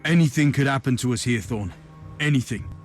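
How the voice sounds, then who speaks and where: A man's voice: medium pitch, a little fast, faint music behind, lightly acted. A man playing a stern, self-assured inquisitor in a video game, speaking in a curt, formal, imperious way. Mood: grave. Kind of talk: dramatic game cutscene acting.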